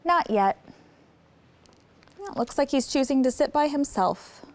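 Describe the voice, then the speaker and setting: A woman's voice: high-pitched, lively intonation, a little slow, a little mellow, dry recording. A woman hosting story time, reading a picture book aloud expressively and putting simple questions to young listeners. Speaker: children's story time host.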